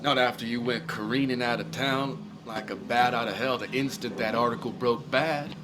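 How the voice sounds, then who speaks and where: An actor playing a cynical small-town drunk in a video game, blunt and teasing with a sardonic manner. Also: male voice, mid-range voice, steady tone, normal speed, lightly acted.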